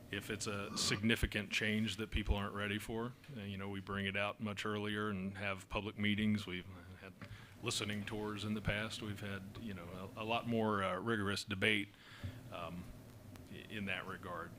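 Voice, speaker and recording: A man from the fire service answering council questions in a steady, explanatory way, with fillers and restarts, speaking into a desk microphone in a council chamber. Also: lowish voice, normal speed. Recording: noisy meeting room recording.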